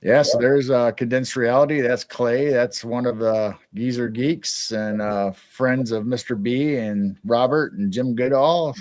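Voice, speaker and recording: A man's voice: medium pitch, fairly even intonation, a little slow, hardly any pauses, darkish tone, no echo. A man co-hosting a casual live-stream chat, rambling with frequent restarts. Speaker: co-host.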